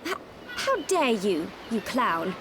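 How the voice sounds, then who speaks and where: A woman's voice: on the high side, sing-song, takes it slowly, noisy background. An actress playing a reserved, dignified young noblewoman in a video game, with a formal, clipped delivery. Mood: indignant. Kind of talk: video game cutscene acting.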